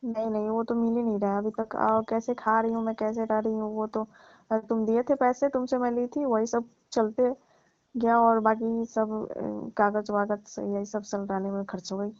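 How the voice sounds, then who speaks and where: A woman's voice: fairly high pitch, level tone, moderate pace, darkish tone, no room sound, soft background music, lightly acted. A woman playing a needy, wary middle-aged divorced mother in a casual phone conversation, talking hesitantly and colloquially with a complaining note. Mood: plaintive. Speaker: actor in a staged phone call skit. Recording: muffled lo-fi phone call recording.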